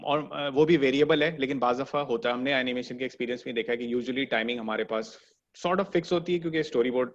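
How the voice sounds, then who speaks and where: A man's voice: mid-range voice, quick pace, dull audio. A man teaching an online animation class, explaining patiently and checking that students follow. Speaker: animation instructor.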